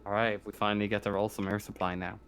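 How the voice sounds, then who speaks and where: A man's voice: lowish voice, little pitch movement, fast talker, a bit bright. A man improvising narration as game master of a tabletop horror roleplaying game. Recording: clear background noise with clicks.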